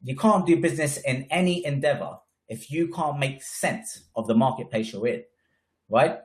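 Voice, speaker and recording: A man's voice: mid-range voice, normal speed. Guy w/ a cold, bunged up, punchy coaching tone, keeps saying right?. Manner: emphatic, frequent "right?" tags. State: congested with a cold. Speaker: trading educator. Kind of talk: webinar presentation.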